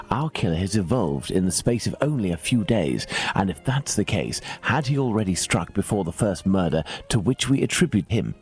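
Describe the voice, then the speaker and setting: Deep-voiced British detective, coolly precise. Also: male voice, darkish tone. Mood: grave, resolute. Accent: British English. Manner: measured, formal, precise, didactic. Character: calm, analytical middle-aged male Victorian English detective. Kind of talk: dramatic video game dialogue.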